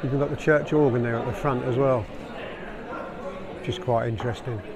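A man's voice: medium pitch, fairly even intonation, normal speed, a crowd in the background. A man giving casual, off-the-cuff commentary as a walking tour vlogger. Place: walking outdoors in town streets, later inside a large pub. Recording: noisy location sound, street and pub ambience.

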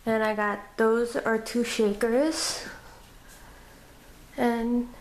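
A woman filming a casual tour of her home and naming each item as she goes, pausing and restarting often. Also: fairly high pitch, steady tone, rough recording. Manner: halting, with frequent ums and restarts. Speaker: vlogger giving a home decor tour. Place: inside a home, walking room to room. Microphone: handheld camera held by the speaker.

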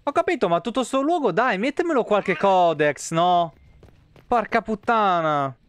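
Dude in his 30s doing gameplay commentary, clean smooth voice, swears and mutters to himself. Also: high voice, lively intonation, measured pace. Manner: foul-mouthed, colloquial, muttering to himself. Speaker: game streamer. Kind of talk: casual live gameplay commentary. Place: small indoor room, streaming setup.

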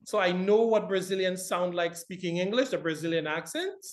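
Male Caribbean film academic, podcast guest, easygoing expansive talker. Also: high-pitched. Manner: expansive, reflective, quick laughs. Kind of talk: casual chat.

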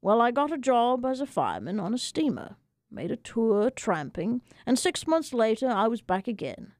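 Animated woman reading a story. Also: on the high side, normal speed, no echo, studio quality. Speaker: audiobook narrator. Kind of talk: dramatic first-person story reading. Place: radio studio.

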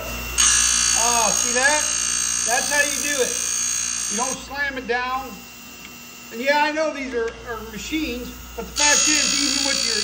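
High-voiced, folksy man giving a tutorial. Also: background noise. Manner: folksy, emphatic, repeatedly checks with "okay?" and "all right?". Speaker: host, chainsaw sharpening instructor. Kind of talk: casual hands-on tutorial to camera. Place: indoor workshop.

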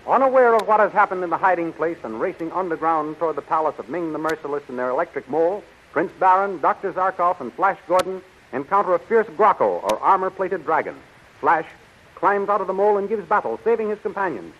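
An old-time radio serial announcer, narrating dramatically. His voice is high, and the recording is muffled with faint hiss. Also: animated, brisk. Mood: dramatic urgency. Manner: dramatic, declamatory. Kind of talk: 1930s radio scripted narration.

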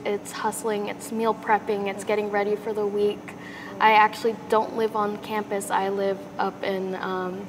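Lively young woman guest. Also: medium pitch, background noise. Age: young adult. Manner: lively, talks with her hands. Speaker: student guest. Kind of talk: interview answers. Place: indoor studio set. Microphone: lapel mic.

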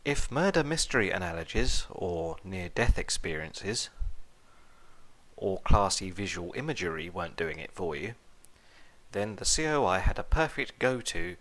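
A man's voice: low voice, sing-song, moderate pace, fairly bright tone. A man narrating a scripted comic review in a sardonic manner. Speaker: video reviewer.

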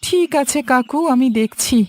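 A woman's voice: sits high, normal speed, darkish tone, a little hiss or hum, close to an everyday voice. A woman voicing an earnest, polite and hardworking poor village boy in a cartoon story.

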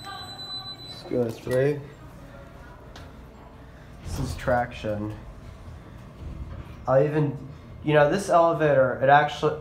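Slow-talking young man filming an elevator. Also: medium pitch, a little mellow, slight background noise. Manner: enthusiastic, slow and remarks to himself. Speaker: elevator video channel host. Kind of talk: handheld walkthrough narration. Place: mall elevator and lobby. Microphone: handheld phone camera. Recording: echoey elevator with faint ambience.

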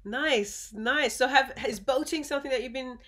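A woman hosting an interview over a video call from home, upbeat and quick to laugh, with short encouraging replies. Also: high-pitched, fairly bright tone. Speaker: interview host. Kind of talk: casual interview chat. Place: home room.

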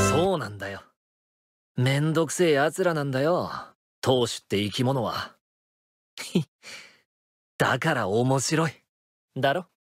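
A young male baseball catcher from an anime-style game, played by a man, cocky and teasing with real drive. His lines sit over very loud music. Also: medium pitch, close to an everyday voice. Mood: sly, teasing.

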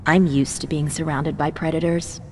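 A woman's voice: low-pitched, flat delivery, moderate pace, in a large hall, slight background noise, close to an everyday voice. A woman playing a poised, ambitious researcher in a video game, polite, composed and sure of herself. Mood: composed, confident. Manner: polite, composed, self-certain.

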